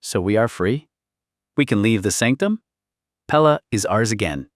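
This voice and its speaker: A man's voice: lowish voice, expressive, normal speed, no room sound, clean studio sound, close to an everyday voice. A man voicing an anxious young princess in an audiobook, earnest and pleading. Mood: hopeful. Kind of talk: audiobook character dialogue.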